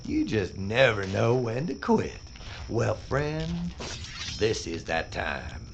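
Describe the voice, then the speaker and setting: A man's voice: on the low side, wildly swooping pitch, slow, gravelly, noisy background, full character voice. A man playing Lamort, a sneering bounty hunter. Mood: mocking. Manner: mocking, drawling, menacing. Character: swaggering gruff male bounty hunter Lamort. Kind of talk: audio drama acting.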